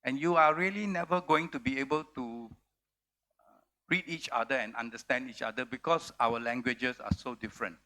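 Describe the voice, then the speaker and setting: A man's voice: mid-range voice, normal speed, pushed and bright. A man from Singapore, a publisher speaking earnestly on a festival panel stage. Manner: earnest, emphatic, repeats words. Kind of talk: panel discussion.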